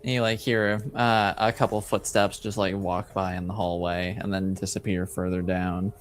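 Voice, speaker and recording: A man acting as game master, improvising narration casually and haltingly as he thinks aloud. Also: low-pitched, little pitch movement, a little hiss or hum.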